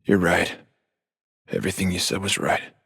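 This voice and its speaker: A grave, earnest young male politician in a video game scene. His low voice is very husky and breathy, with little melody and somber restraint. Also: fairly even intonation, normal speed, fairly bright tone, dry recording, clean studio sound, exaggerated voice. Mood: somber, resolute. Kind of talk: video game cutscene acting.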